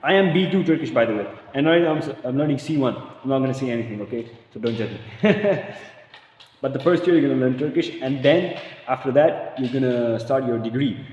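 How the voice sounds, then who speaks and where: A young man from Pakistan talks to camera in a loose, rambling way, full of slang and little laughs. His voice sits at a middle pitch. The room is bare and booming, with a long tail of reverb and a faint hum behind him. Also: lively intonation, brisk, a little mellow, dull audio. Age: young adult. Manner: rambling, slangy, says "bro" a lot, laughs often. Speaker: vlogger. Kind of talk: casual chat.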